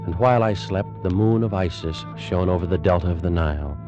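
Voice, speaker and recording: A man's voice: low-pitched, fairly quick, natural voice. A man acting an ardent, anguished Egyptian priest in an old-time radio drama, speaking in a solemn, formal, declamatory manner. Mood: solemn, brooding. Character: ardent ancient Egyptian priest, anguished lover telling his past. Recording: muffled vintage radio recording with music.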